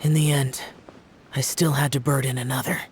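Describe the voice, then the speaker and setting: An actor playing a solemn, youthful immortal guardian in a video game, grave, restrained and measured. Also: male voice, medium pitch, little pitch movement, very noisy, natural voice. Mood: grave, subdued. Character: ancient youthful male yaksha guardian, solemn, reserved, burdened.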